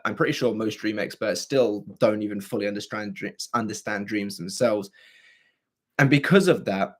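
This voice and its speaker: A hoarse young man with a scratchy, throaty voice, hosting a livestream and chatting casually with viewers. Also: fairly low pitch. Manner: chatty and rambling, with frequent fillers and throat clearing. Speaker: livestream host. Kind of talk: casual livestream chat answering viewer comments.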